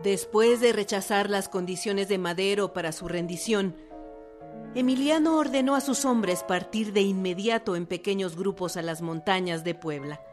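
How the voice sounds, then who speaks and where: Medium-pitched woman narrator over music. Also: moderate pace. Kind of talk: scripted historical radio narration.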